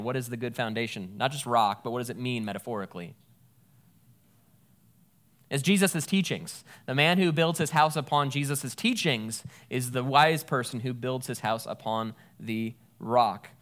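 A man preaches in a relaxed, conversational way. His voice sits at an ordinary middle pitch. He talks plainly while explaining scripture. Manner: conversational, explains Greek words with a folksy aside. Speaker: pastor. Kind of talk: sermon with scripture reading.

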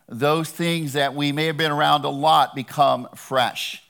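A man's voice: fairly high pitch, steady tone, a little slow, gravelly, a bit bright. A man giving a sermon as a guest preacher, talking in a conversational, earnest way with touches of humor.

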